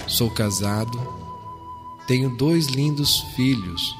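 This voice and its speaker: A man reading a letter aloud on a radio program, slow, solemn and heartfelt. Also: medium pitch. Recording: long reverb with faint music.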